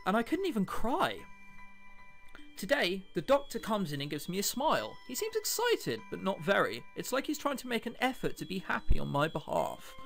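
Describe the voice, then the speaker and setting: A man's voice: high voice, huge pitch swings, moderate pace, gravelly, fairly bright tone, soft background music. A British man hosting a let's play, reading a visual novel aloud and adding chatty, jokey commentary. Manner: jokey, chatty asides between read passages.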